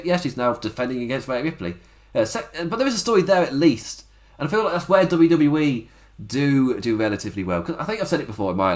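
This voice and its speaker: Casual male host, mid-pitched, rambling home recap with frequent laughs. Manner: chatty, rambling, laughs often. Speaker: wrestling podcast host. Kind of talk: casual solo review. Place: home setup on camera.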